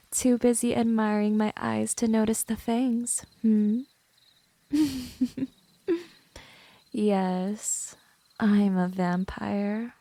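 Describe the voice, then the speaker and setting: A woman's voice: mid-range voice, little pitch movement, warm tone. A woman playing a teasing, domineering vampire in an ASMR roleplay, talking straight to the listener in a condescending, seductive way. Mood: amused, smug. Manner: slow, condescending, seductive, breathy drops into whisper. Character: smooth, teasing, domineering female vampire, gently predatory.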